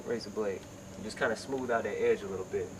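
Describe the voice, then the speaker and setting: A man getting over a cold, sniffling and clearing his throat as he explains a how-to job outdoors in a laid-back, chatty way. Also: on the low side, quick pace. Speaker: DIY video maker. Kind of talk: casual how-to tutorial. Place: outdoors beside a car in sunshine. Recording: outdoor ambient noise.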